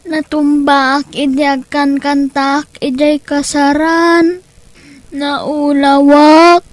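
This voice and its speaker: Woman voicing a slow, plaintive Filipino little boy. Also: high-pitched, little pitch movement, dry recording. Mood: sad, weary. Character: weary, homesick young schoolboy forced to sing for money. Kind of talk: Ilocano audio drama acting.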